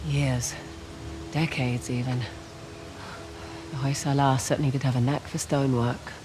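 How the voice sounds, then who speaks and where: A woman playing a confident, quick-witted treasure hunter in a video game, with a wry, breezy way of talking. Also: very deep voice, level tone, music in the background. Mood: thoughtful. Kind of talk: naturalistic video game cutscene acting.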